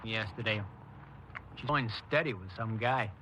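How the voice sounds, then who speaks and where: An actor playing a chatty, inquisitive, decent workmate in a casual manner in a 1950s radio crime drama. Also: male voice, fairly low pitch, measured pace, sharp tone. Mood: casual, curious. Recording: dull, noisy old radio recording.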